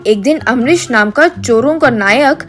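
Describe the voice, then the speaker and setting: A woman's voice: high-pitched, expressive, measured pace, fairly bright tone, soft background music. A woman narrator reading a scripted comic moral story.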